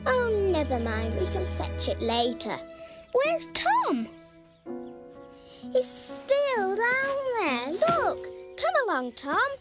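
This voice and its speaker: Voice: very high-pitched, huge pitch swings, takes it slowly, full character voice. A performer voicing a young kitten in a children's cartoon, childlike, earnest and adventurous. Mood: eager, bossy. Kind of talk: animated children's storybook acting. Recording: loud music behind the voice.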